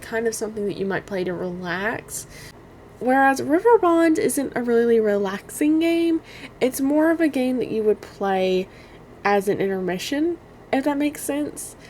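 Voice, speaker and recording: Young woman, hoarse and strained, quiet delivery; casual rambling game reviewer, faint background noise. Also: fairly high pitch, lively intonation, moderate pace. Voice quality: quiet, cannot get louder. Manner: rambling, many "like" fillers, apologetic. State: hoarse, strained voice after allergies.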